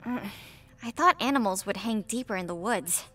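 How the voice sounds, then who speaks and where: A woman's voice: mid-range voice, normal speed. A woman playing a chatty, eager young livestreamer on a vampire hunt in an audio drama, with a casual delivery. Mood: uneasy. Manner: casual, animated.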